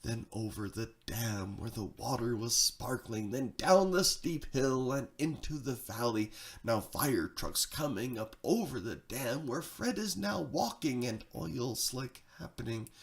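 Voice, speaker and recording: A man reading a rhyming story theatrically, swinging between hushed and excited delivery, with a gravelly creak in the voice. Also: mid-range voice, a little slow. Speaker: narrator, storyteller.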